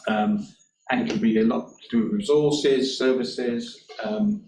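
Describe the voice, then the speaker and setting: A man gives a council committee his professional view, stressing his points with deliberate repetition. He is heard from a distance in an echoey meeting room, with faint hiss behind him. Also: medium pitch, very animated, darkish tone. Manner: deliberate, emphatic, with repeated words. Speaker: independent reviewing officer manager.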